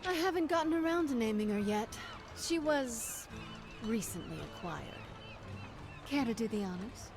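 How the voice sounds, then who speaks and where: A woman's voice: fairly high pitch, expressive, moderate pace, over music. An actress playing a confident, teasing female pirate captain in a video game. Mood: playful. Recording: noisy ambient game soundscape behind.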